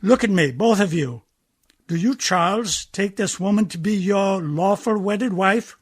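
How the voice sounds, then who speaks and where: Man acting stern captain, high-pitched and commanding. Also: unhurried, no room sound, clean studio sound. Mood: officious. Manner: curt, authoritative, barking orders. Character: stern, exasperated German ship captain, officious and commanding. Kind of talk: radio play acting.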